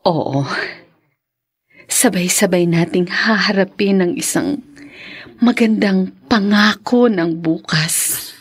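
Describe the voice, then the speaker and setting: A woman playing a wounded, weary young wife and mother in a Filipino radio drama, speaking in a breathy, hesitant, heavy-hearted way. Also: medium pitch, takes it slowly, long pauses. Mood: tender, subdued.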